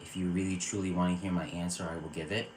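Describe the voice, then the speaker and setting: A man from Virginia hosting a spiritual live stream, talking earnestly and calming his viewers with a repeated "okay". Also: sits low, quick pace, slight background noise. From Virginia, USA. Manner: earnest, repeats words and restarts phrases. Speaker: psychic circle host. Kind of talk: live-stream spiritual talk answering chat.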